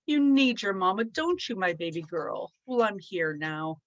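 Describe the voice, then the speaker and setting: A woman's voice: medium pitch, very animated, a little slow, dry recording, muffled recording. A woman doing a weepy young new mother, cooing. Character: exhausted young new mother, tearful and tender.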